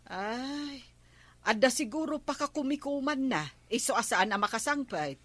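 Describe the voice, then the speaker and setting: Actress as suspicious, questioning wife, Ilocano accent, piercing bright tone, very dry sound, comedy drama. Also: female voice, high voice, animated, moderate pace, dry recording. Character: inquisitive, suspicious village wife. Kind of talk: comedy radio-style drama acting.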